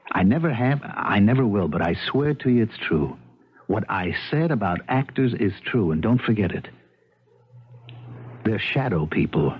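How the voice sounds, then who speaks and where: A man's voice: fairly low pitch, breathy edge. A man playing an actress's plain-spoken, exasperated American husband in a 1970s radio drama, musing emphatically and repeating himself. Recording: muffled old radio recording, faint noise.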